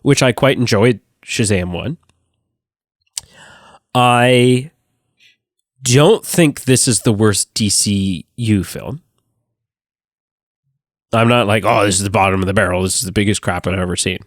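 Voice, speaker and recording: A man hosting a casual movie review podcast, sick and sounding nasal, animated and swearing freely. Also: lowish voice, unhurried, long pauses, no echo, studio quality. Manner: animated, swearing, laughing often. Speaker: podcast host.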